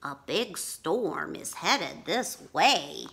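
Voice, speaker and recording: A woman voicing a gentle, bookish young boy bunny who politely pleads and explains in a storybook read-aloud. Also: on the low side, big pitch swings, extremely slow, a little hiss or hum, heavily acted. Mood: earnest.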